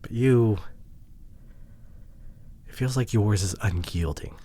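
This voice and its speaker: A man acts out a hurt, introverted young boyfriend in an intimate audio drama. His voice sits fairly low and is very breathy and husky. He talks in a hushed, halting way, frustrated and vulnerable as he opens up to his partner. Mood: wistful, hurt.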